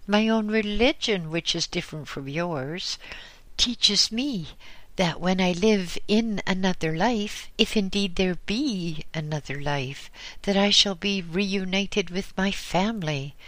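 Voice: medium pitch, a bit bright, no room sound, voice pushed up. A narrator voicing a frightened, widowed old woman who pleads in a trembling, beseeching way. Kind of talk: audiobook character voice.

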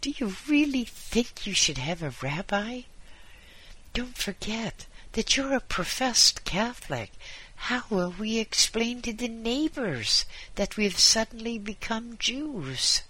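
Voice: mid-range voice, expressive, a little slow, pushed and bright, slightly rough, exaggerated voice, low-quality recording. A narrator voicing an anxious, status-conscious wife, fussing and fretful. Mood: alarmed.

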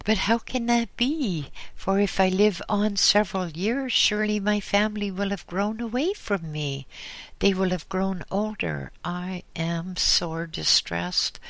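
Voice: mid-range voice, a bit bright, dry recording, voice pushed up. A narrator voicing a frightened, widowed old woman who pleads in a trembling, beseeching way. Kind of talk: audiobook character voice.

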